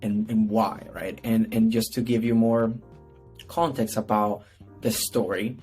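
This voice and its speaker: Low, even-toned Puerto Rican man, rambling casually. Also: unhurried, faint music behind. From Puerto Rico. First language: Spanish. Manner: rambling, repeats words, frequent "right?" tags. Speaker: podcast guest, PR strategist. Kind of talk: casual conversational interview answers.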